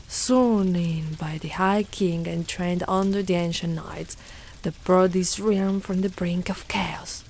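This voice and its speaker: Slow-speaking, fierce dragon knight played by a woman. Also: fairly low pitch, darkish tone, a little hiss or hum. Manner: theatrical, formal archaic phrasing, menacing and protective. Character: fierce, devoted female dragon knight guarding a princess. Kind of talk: dramatic ASMR roleplay monologue.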